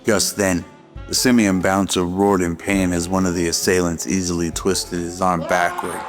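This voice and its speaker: A man's voice: low voice, flat delivery, moderate pace. A man reading scripted narration for a radio drama. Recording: long reverb over faint music.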